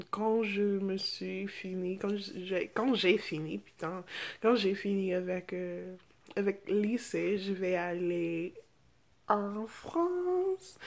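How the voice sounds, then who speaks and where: A young American woman, a native English speaker, chatting casually to camera and haltingly searching for words in a second language. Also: medium pitch, gravelly, a little mellow. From United States. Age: teens to young adult. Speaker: vlogger. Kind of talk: casual unscripted chat to camera.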